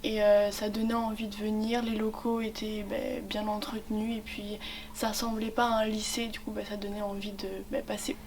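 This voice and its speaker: A woman's voice: mid-range voice, monotone, normal speed, a little mellow, far from the mic, slight background noise. A woman of eighteen, a student ambassador, talking casually about her studies with many hesitations. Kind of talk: casual testimonial.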